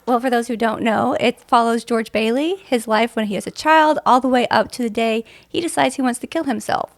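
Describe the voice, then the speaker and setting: Female theatre guest, high-ish voice with vocal fry, explanatory and wry in casual interview. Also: fairly quick.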